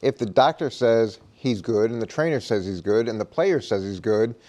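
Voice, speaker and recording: Male coach-panelist, dark mellow mid-pitched voice, halting unscripted sports debate. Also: lively intonation. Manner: halting, repeats words as he argues.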